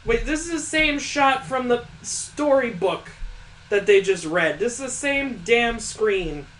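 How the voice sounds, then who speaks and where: A high-pitched male let's player reads a visual novel aloud, dropping in sarcastic commentary as he goes. There is faint noise behind his voice. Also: expressive, slow pace, fairly bright tone, rough recording. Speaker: video game let's player. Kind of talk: reading a visual novel aloud with joking asides.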